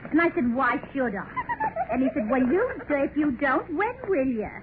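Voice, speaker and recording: A woman's voice: sits high, expressive, normal speed. A chatty gossiping woman. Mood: gossipy. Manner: chatty, storytelling. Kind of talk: 1940s radio acting. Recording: tinny old radio recording with noise.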